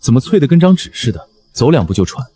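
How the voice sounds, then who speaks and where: Male actor doing a roguish young general, cocky, mocking, animated drama delivery, swings all over. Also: mid-range voice, fast talker, slight background noise, natural voice. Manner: mocking, theatrical. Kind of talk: Chinese animated web drama voice acting.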